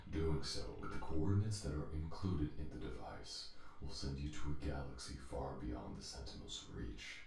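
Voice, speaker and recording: A man playing a calm, calculating machine intelligence in a sci-fi audio drama, formal and detached, through an echoing synthetic effect. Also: extremely low pitch, normal speed, vocal fry, few pauses. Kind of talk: scripted ASMR audio drama acting. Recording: echoing, distant sound with faint hiss.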